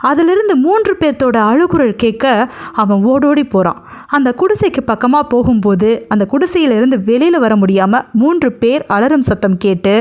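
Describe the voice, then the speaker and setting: A woman storyteller narrates a tale in a high voice. Her pitch moves up and down a great deal as she tells it dramatically.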